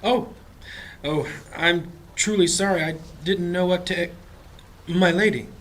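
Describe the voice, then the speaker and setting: Humble village priest for fantasy audio drama: higher voice, devout, courteous, easily flustered. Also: male voice, measured pace, fairly bright tone. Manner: flustered, formal, deferential.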